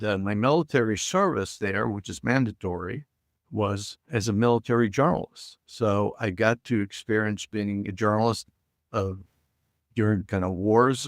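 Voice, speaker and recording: A man's voice: fairly low pitch, no echo, studio quality. A middle-aged man from Israel, talking as a podcast guest with frequent hesitations and filler sounds. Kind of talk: casual interview talk.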